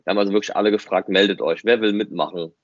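Male podcast co-host: fairly deep, casual, emphatic explainer, very dry dull sound. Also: steady tone, rapid-fire, no room sound. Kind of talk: casual chat.

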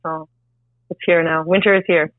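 A woman from Canada, a musician guest, talking in a relaxed storytelling way with fillers. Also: fairly low pitch, normal speed, no room sound. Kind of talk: interview over a phone line. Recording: tinny phone line, dry.

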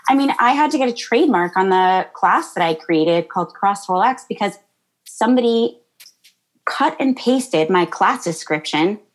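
Woman yoga teacher, high-pitched and bubbly, talks casually in an interview. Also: expressive, moderate pace. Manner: bubbly, laughs often, many fillers. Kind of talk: interview answers, casual chat.

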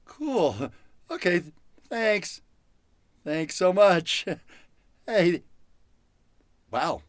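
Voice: medium pitch, very animated, very slow, no room sound, clean studio sound. A performer playing a frightened, flustered captive of robots in old-time radio horror style, stammering and pleading. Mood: nervous, flustered.

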